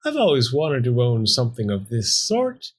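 A man's voice: medium pitch, huge pitch swings, normal speed, breathy edge. A man voicing a wily, dapper fox villain in a storybook, smug and hungry, with suave, florid menace. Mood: amused, smug.

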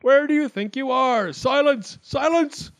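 Guy doing a self important wildcat judge from a kids story, high pitched, fussy n blustery, kinda nervous. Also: big pitch swings, normal speed, no room sound. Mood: bawling, exasperated. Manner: pompous, formal, emphatic.

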